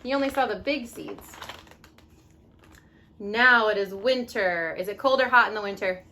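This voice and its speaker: A woman teaching young children as a mother at home, reading a picture book expressively and pausing to ask simple questions. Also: mid-range voice. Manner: expressive, instructive, asks leading questions.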